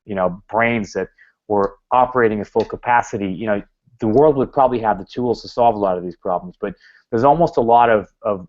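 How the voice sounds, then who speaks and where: A man speaking as a guest at a club, his voice sitting a little low. He rambles, circling back with frequent fillers and restarted phrases. The recording sounds dull and lo-fi. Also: darkish tone. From Canada. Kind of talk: talk to a club.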